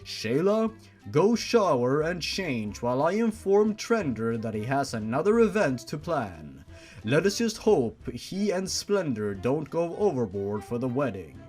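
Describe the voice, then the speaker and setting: A man's voice: medium pitch, very animated, a little slow, a little mellow, soft background music. A man voicing a stern, formal, faceless creature who is a father, reading him aloud in a mocking comedy reading. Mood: calm stern. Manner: formal, commanding.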